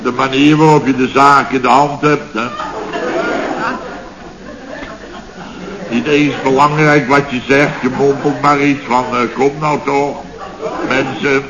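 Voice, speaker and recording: An actor playing a boastful, blustering contractor boss in a radio comedy serial, telling stories in colloquial, indignant style. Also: male voice, mid-range voice, level tone, moderate pace, crowd noise, lightly acted. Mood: amused, self-satisfied. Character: blustering, self-satisfied male building contractor boss. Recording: dull, noisy old radio recording.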